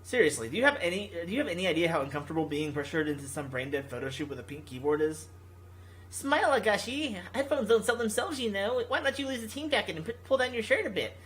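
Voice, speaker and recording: A man's voice: high-pitched, very animated, fast talker, a bit bright, poor audio. A man voicing a sardonic, indignant young Korean woman who plays games professionally, in a visual novel. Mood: mocking, sleazy imitation. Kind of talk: visual novel character reading.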